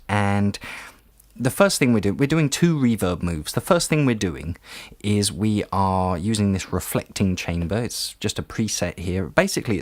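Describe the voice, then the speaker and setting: Guy with a deep voice explaining stuff step by step, chatty tutorial style, really dry sound. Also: no room sound. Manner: conversational, explanatory. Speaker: audio production tutor. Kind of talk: informal step-by-step tutorial narration.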